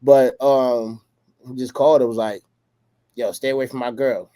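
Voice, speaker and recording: A man's voice: mid-range voice, fairly even intonation, moderate pace, warm tone, no echo. An American man co-hosting a casual relationship talk, telling stories in loose slang with a joking, boastful swagger and repeated check-in phrases. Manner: slangy, jokingly boastful, frequent "you know what I'm saying". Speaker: podcast co-host. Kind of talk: casual relationship chat with anecdotes.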